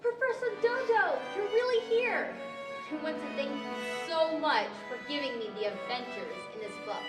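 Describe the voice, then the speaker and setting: Casting: woman as earnest young heroine of a staged radio play, high voice, heard from off in a roomy hall. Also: animated, normal speed, over loud music. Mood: grateful, bright. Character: Alice, polite, earnest storybook girl on adventures. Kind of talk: 1940s radio-style stage acting. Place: large library event room, standing microphones. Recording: echoey hall, distant microphone.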